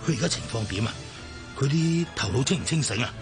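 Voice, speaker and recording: Scheming Hong Kong Cantonese businessman for radio drama, played by a man, coaxing and pressing, with lively intonation and creak. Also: mid-range voice, fast talker, music in the background, lightly acted. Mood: alarmed. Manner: coaxing, urging. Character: scheming businessman, smooth and persuasive to his superior.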